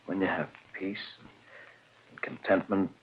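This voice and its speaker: A nervous husband. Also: male voice, sits low, level tone, a little slow, slight background noise. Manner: nervous, stammering. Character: anxious mild-mannered husband Howard. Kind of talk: 1940s radio acting. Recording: muffled old radio recording.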